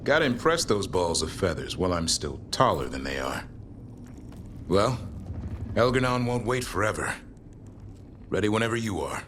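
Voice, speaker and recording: A man's voice: sits low, very animated, normal speed, long pauses, fairly bright tone, a little hiss or hum. A man playing a confident, caring warrior comrade in a fantasy game, speaking in plain, clipped phrases. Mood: fond. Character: gruff-but-caring male warrior comrade, confident hunter. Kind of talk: acted game dialogue. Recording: game audio with clear background ambience.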